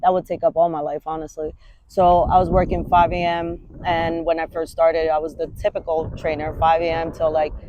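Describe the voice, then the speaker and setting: A woman's voice: deep voice, level tone, a little fast, narrow, tinny audio, a little hiss or hum. Candid, swearing New woman coach. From Washington Heights, New York. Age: 30s. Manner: candid, rambling, swears freely. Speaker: fitness coach. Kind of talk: casual vlog monologue.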